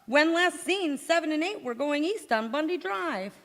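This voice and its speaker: A woman's voice: sits high, expressive, moderate pace, fairly bright tone. A woman playing a frazzled, hapless housewife in old-time radio sitcom style, with dry comebacks and flustered wailing. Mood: frazzled deadpan. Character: frazzled, hapless housewife overwhelmed by a cooking disaster. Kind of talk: golden-age radio sitcom acting on stage. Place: large indoor hall, live stage performance. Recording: echoey hall, community theatre stage.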